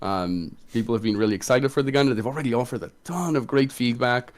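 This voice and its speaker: A man talks in a casual, conversational way, as a game designer hosting a livestream. His pitch sits in an ordinary middle range for a man. He addresses an online community as he explains and takes questions. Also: fast talker. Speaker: tabletop game designer and stream co-host.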